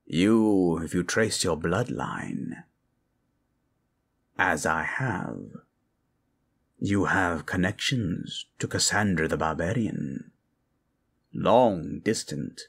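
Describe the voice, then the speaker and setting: A man voicing a grave, learned monstrous elder in a fantasy audio roleplay, speaking in a gravelly, husky voice with a measured, formal manner. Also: low voice, animated, exaggerated voice. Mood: solemn, measured.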